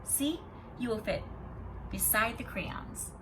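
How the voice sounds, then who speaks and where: A woman's voice: a little high, big pitch swings, takes it slowly, far from the mic, background noise. A woman voicing a small, shy, tender girl in a children's storybook.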